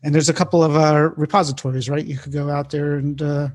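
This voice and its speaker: A man with a fairly high-pitched voice co-hosts a casual tech podcast. He talks in a colloquial, stop-and-start storytelling way, grumbling freely as he goes. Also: flat delivery, quick pace, darkish tone. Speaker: podcast co-host.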